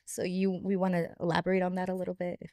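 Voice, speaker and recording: A woman's voice: on the low side, completely flat delivery, fast, a little mellow, dry recording, studio quality. A woman hosting a podcast in a casual, conversational style. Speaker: podcast host.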